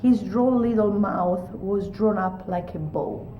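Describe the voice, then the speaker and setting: A woman reading a holiday poem aloud in a slow, measured way. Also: mid-range voice, echoey room, lo-fi sound, slight background noise.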